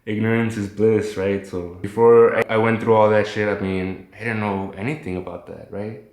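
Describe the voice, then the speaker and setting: A man's voice: on the low side, fairly even intonation, moderate pace, darkish tone, echoey room. A man chatting casually as a guest on a call, rambling and reflective, with easygoing slang. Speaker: phone guest recounting his illness.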